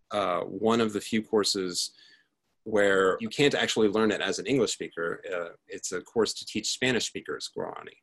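This is a man with a fairly low voice. He speaks as a presenter giving a prepared research talk. His delivery is that of someone explaining work to an audience. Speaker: conference speaker, tech research lead.

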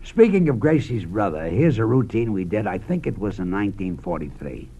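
A man's voice: lowish voice, very animated, a little fast, dark tone, breathy edge, lo-fi sound. An older man hosting, telling a dry anecdote to introduce a routine. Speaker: host. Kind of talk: reminiscing introduction.

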